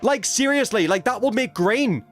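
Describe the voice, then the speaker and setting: Guy streaming a game, slangy and excitable, voice jumps up and down a lot, faint music behind. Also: very high voice, moderate pace. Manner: excitable, slangy, thinking aloud. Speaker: video game livestreamer. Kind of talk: casual gaming commentary, chatting with viewers.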